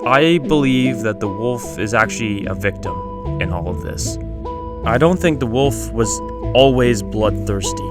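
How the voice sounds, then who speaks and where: A man's voice: lowish voice, lively intonation, measured pace. A man hosting a video essay, reading scripted narration. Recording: music behind the voice.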